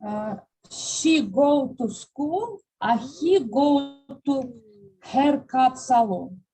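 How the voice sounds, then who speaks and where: A woman whose first language is Turkish, an adult learner speaking halting, simple English in class. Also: high voice, animated, warm tone, slightly rough, rough recording.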